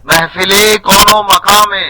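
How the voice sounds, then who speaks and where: A man giving a dramatic recitation of Urdu poetry in a measured, declamatory way, with emphatic swells and hushed line endings. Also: sits high, steady tone, heavy background noise. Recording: soft music bed under the voice. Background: soft background music.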